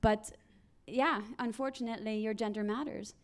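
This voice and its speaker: A woman speaks on a conference panel in a fairly high voice. She tells her stories briskly and acts out the voices of the people in them. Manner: animated storyteller acting out quoted people.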